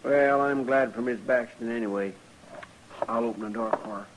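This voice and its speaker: An actor playing an eager, deferential frontier deputy in an old radio western, with a folksy, drawling, respectful manner. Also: male voice, mid-range voice, moderate pace, lightly acted. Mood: friendly, deferential. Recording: noisy old radio drama recording.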